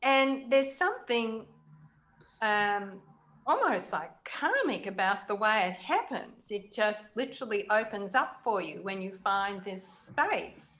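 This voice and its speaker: A woman interviewing in a conversational way, reflective and pausing to choose her words. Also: mid-range voice, very animated, a bit bright. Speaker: interviewer, writer.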